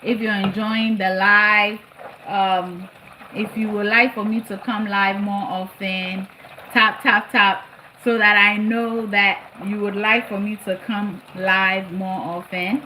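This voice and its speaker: A woman's voice: medium pitch, little pitch movement, takes it slowly, sharp tone, low-quality recording. A middle-aged woman with a slight New Jersey accent chats casually to live-stream viewers as she cooks, often repeating phrases for emphasis. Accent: New Jersey-influenced. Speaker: live-stream cooking host. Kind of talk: casual live chat with viewers while cooking. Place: home kitchen. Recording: food frying and boiling noise behind. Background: sizzling, bubbling cooking sounds.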